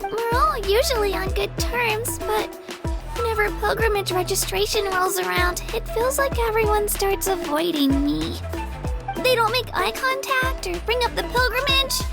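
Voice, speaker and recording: A woman's voice: extremely high pitch, moderate pace, creaky. A woman voicing an earnest, humble young girl who hopes to become an adventurer, in a video game. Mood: shy, downcast. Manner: hesitant, humble, eager. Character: earnest, self-deprecating young girl, eager aspiring adventurer. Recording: background music under the voice.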